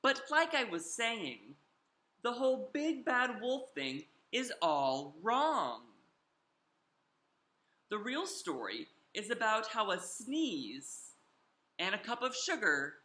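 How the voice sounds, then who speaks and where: Man acting a sly storybook wolf, very animated. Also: sits high, reverberant, full character voice. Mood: explaining. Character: sly, self-justifying male wolf telling his side. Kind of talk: animated children's storybook read-aloud.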